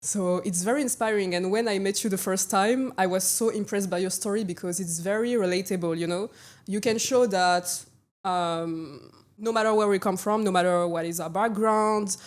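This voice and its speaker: French woman podcast host, upbeat and excited, medium voice, laughs a lot. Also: mid-range voice, moderate pace. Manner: upbeat, enthusiastic, laughs often. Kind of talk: casual interview hosting.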